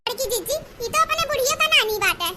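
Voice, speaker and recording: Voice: extremely high pitch, animated, fast talker, noisy background. A performer voicing a dramatic, scheming village woman in an Awadhi comedy cartoon, theatrical and full of exclamations and complaints. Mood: pleading, boastful. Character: dramatic scheming village women of a squabbling family. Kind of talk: exaggerated comic cartoon dialogue in rural dialect.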